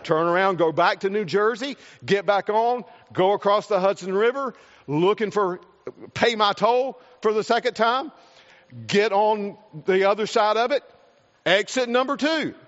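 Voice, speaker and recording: A man with a South Carolina accent preaches in a folksy, earnest way, and his voice sits high for a man. He often repeats words as he goes. The sound is dull and lo-fi, with a long reverb from a large hall. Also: unhurried, fairly bright tone. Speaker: pastor. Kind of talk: sermon with a personal story.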